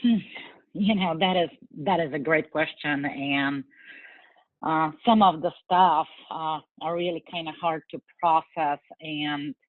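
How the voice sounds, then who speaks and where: A woman in her forties from Bulgaria, speaking English with a Bulgarian accent, answering interview questions in an earnest, measured way with frequent fillers. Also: sits low, fairly even intonation, no room sound. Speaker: guest. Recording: tinny phone-like line.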